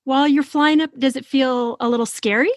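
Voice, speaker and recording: A woman's voice: high voice, fairly even intonation, fairly quick, dry recording. Frank woman host, very dry close sound. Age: middle-aged. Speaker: podcast host. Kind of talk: casual, informal interview chat.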